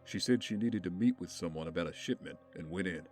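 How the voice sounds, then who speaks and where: A man as a deep-voiced, somber confidant. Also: fast talker, a little mellow, natural voice. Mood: grave. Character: somber, troubled male confidant of a vigilante. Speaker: voice actor in an audio drama. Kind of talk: dramatic audio drama acting. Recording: faint music behind the voice.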